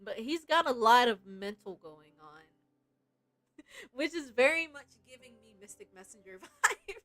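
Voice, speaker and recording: A woman game streamer chatting casually and reacting in an animated, giggly way. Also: high-pitched, measured pace. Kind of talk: casual livestream chat with read-aloud game lines.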